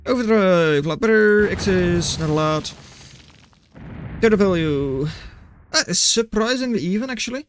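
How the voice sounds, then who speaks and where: A man's voice: sits high, animated, a little slow, pauses often. A man casting a strategy game replay live and chatting with viewers, matter-of-fact and dry in his remarks. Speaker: game caster, streamer.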